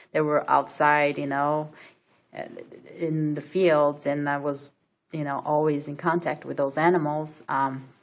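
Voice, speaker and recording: A woman from Brazil with a Brazilian Portuguese accent, telling her own story to camera in a hesitant way, full of fillers and restarts. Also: deep voice. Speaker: vlogger. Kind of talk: casual personal story to camera.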